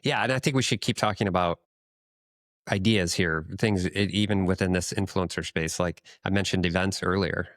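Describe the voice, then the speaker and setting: A man with a deep voice speaks as a podcast co-host. He explains things in a measured, conversational manner, recorded very dry without room sound. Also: a bit bright, no room sound. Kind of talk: conversational business discussion.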